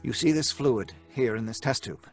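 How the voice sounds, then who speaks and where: An intense young radio-drama scientist. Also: male voice, medium pitch, expressive, soft background music. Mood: intent, proud. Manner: earnest, dramatic, deliberate. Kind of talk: old-time radio horror acting.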